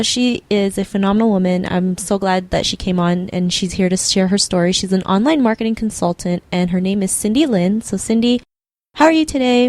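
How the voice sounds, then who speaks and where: A woman's voice: medium pitch, a little mellow, no room sound. A woman hosting a podcast interview, upbeat and welcoming as she introduces her guest. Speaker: podcast host.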